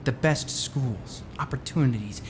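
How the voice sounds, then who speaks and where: A man's voice: medium pitch, a little slow, noisy background, lightly acted. A man playing a confident, glib billionaire space colony founder in a naturalistic audio drama, talking in a casual, assured, persuasive way. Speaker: voice actor in a musical audio drama.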